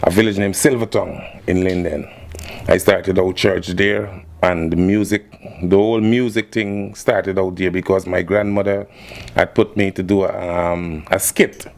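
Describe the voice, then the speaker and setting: A man with a Guyanese accent, a musician guest talking in a relaxed, laid-back way and often saying "yeah, man". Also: low-pitched, unhurried, vocal fry. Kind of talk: casual interview.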